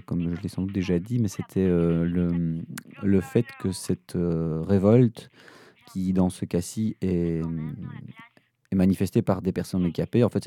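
A man speaks in a very mellow, dark, low voice. He explains his creative work in an interview, pausing and restarting in halting phrases. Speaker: sound designer, musician.

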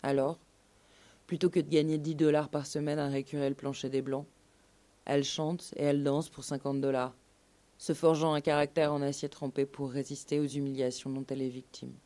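A woman's voice: low voice, level tone, moderate pace, dark tone. A woman narrating a scripted documentary story as part of a live radio show. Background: music.

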